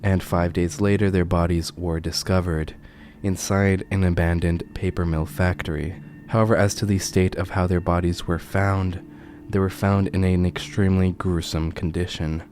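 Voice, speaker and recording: A man narrating a scripted horror commentary video as its host, with a grave, deliberate storytelling delivery. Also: sits low, level tone, darkish tone. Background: music under the voice.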